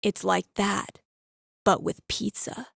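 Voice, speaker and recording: A woman's voice: medium pitch, fairly even intonation, slow, gravelly, a bit bright, breathy edge, dry recording, clean studio sound, full character voice. A woman playing a laid-back, playful young radio DJ in a video game, with wry, slangy, mock-dramatic call-in patter. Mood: mock-solemn. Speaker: call-in radio show host.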